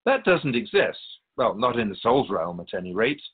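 A man playing a serene, wise otherworldly keeper of souls in a radio drama, speaking in a measured, formal, instructive manner. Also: on the low side, big pitch swings, slightly rough, narrow, tinny audio.

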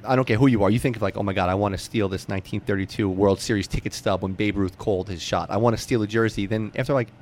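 A man's voice: lowish voice, fairly even intonation, fast talker, few pauses. An American man hosting a casual interview, informal and blunt in the way he talks. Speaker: interview host. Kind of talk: casual chat.

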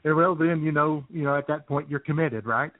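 A man's voice: fairly high pitch, brisk, no room sound. A man co-hosting an internet radio show, bantering casually and sarcastically about the news over a thin, phone-like sound. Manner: sarcastic, joking.

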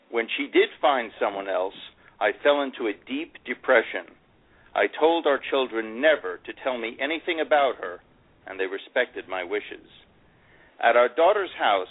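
A man plays an older divorced husband reading from his memoir with wistful regret. His voice sits on the low side, and the sound is thin and narrow, like a phone line or small radio. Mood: sorrowful. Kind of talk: dramatized first-person memoir reading.

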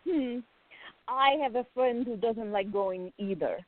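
A woman's voice: fairly high pitch, measured pace, dry recording, close to an everyday voice. A woman playing an outgoing, teasing gallery worker in a radio play, talking in a playful, emphatic way. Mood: playful, friendly. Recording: tinny, phone-like narrow sound.

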